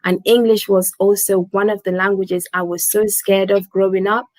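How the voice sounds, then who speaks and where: A woman's voice: medium pitch, level tone, moderate pace, a little mellow, no echo. A woman from Gambia whose first language is Wolof, hosting a casual live stream in a chatty way, repeating words and leaning on "basically".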